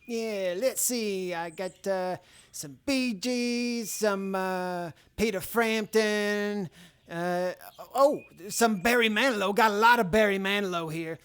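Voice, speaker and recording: A man's voice: sits high, slow pace, fairly bright tone, clear, resonant tone. A man playing a casual, hesitant, hapless peddler of junk in a comic radio advertisement sketch. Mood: casual, hopeful. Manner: hesitant, informal.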